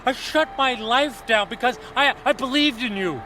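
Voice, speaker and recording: A man's voice: very high-pitched, normal speed, bright, forceful tone, slight background noise. An actor playing an emotional, desperate man in a radio drama, agitated and prone to stammering. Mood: angry, accusing. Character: angry, desperate man who believed himself doomed.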